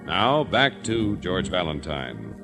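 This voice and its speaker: An extremely deep-voiced man announces a radio drama over clear music. He narrates in a measured, dramatic 1940s style. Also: wildly swooping pitch. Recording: old radio show with music underneath.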